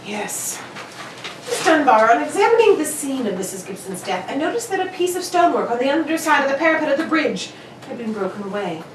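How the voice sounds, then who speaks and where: A probing, excitable gentleman detective acted by a woman in an old-style radio mystery. The voice is high and very animated, with brisk, courteous, theatrical questioning, heard at a distance in an echoing room. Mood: probing, measured. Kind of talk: 1940s radio detective drama acting. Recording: roomy, distant mic with faint noise.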